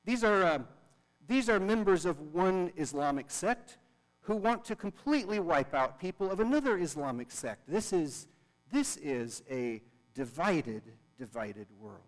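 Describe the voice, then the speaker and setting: Pastor guy preaching, earnest, repeats stuff for emphasis, slightly high voice, big echoey church hall. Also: very animated, measured pace. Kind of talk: sermon. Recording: long reverb of a large hall.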